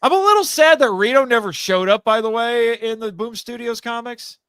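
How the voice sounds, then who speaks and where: A man chatting casually as a podcast guest, animated, often interrupting himself and thinking out loud. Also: extremely high pitch, normal speed, clear, resonant tone, dry recording. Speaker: guest, audio drama writer and voice actor. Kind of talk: casual fan chat.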